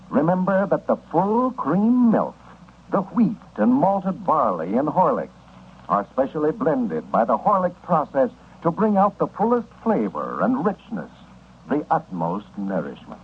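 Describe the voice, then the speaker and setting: A man's voice: medium pitch, huge pitch swings, a little slow, slightly rough. An American man as a 1930s radio announcer, delivering scripted advertising and story introductions in an emphatic, folksy sales style. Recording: dull, noisy 1930s radio recording.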